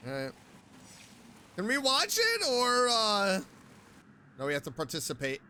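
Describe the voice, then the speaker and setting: A man's voice: high voice, a little slow, clean tone. A man giving playful, teasing commentary while playing a story game. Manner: playful, animated reactions, teasing. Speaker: streamer. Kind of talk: gameplay commentary.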